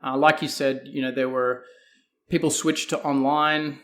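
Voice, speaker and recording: A man's voice: mid-range voice, little pitch movement, measured pace, a bit bright. A man with an Australian accent answering as a guest in a conversational interview, hedging and thinking aloud as he goes. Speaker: guest, fitness coach.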